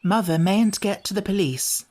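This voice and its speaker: A man voicing an earnest, gentle young girl in a storybook, coaxing and pleading in a breathy way. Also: sits high, moderate pace, pitched above the performer's own voice.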